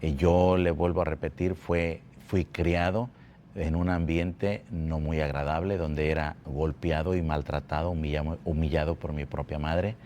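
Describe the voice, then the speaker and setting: A man from Mexico telling his life testimony at a church, speaking slowly and haltingly, often repeating words. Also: a bass voice, steady tone, a little mellow, slight background noise. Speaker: guest giving testimony. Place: church building.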